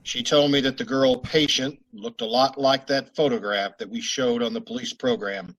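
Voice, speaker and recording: A terse police sergeant delivers flat, clipped lines and a dry first-person report, as an actor plays him in a radio drama. His voice sits at a middling pitch. It is all business, with no flourish. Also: normal speed, natural voice, rough recording. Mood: narrating.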